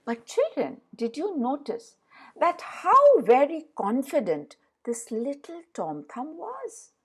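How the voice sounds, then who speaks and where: A woman storyteller narrating a fairy tale for children in an expressive way, addressing her listeners directly. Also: sits high, slow pace.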